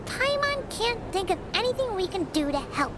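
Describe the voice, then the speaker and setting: A woman voicing a tiny, childlike fairy companion, eager and excitable, in a video game. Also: normal speed, very noisy. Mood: worried. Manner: squeaky, refers to self in third person.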